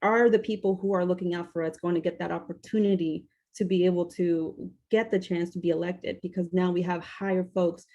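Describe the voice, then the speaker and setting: A woman's voice: fairly low pitch, fairly even intonation, brisk, few pauses, mellow, highs cut off. A woman from Washington, D.C. speaking as a panelist, hesitant, with many fillers and restarts. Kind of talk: panel discussion, advocating.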